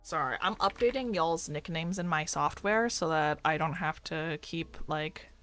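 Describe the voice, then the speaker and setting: A young woman who streams and works as a doctor, chatting casually with a fairly deep voice and a rambling, filler-heavy way of talking. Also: a little slow, a bit bright, faint music behind. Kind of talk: casual chat with live chat readers. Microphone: desk microphone.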